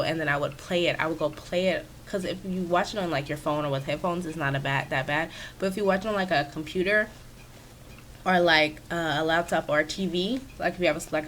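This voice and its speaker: A woman with a raspy, stuffy voice, chatting casually and rambling with many fillers, recorded on a sensitive microphone in her home office. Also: low voice, fairly bright tone, a little hiss or hum. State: stuffy from sinus trouble. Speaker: video creator. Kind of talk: casual commentary over a speed-build. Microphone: new sensitive desk microphone.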